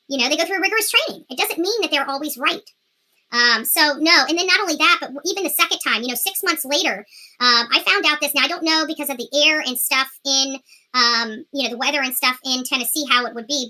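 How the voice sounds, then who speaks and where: A woman's voice: high voice, pushed and bright, rough recording. An American woman hosting live true-crime commentary, speaking emphatically and repeating phrases for stress. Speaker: livestream host and commentator.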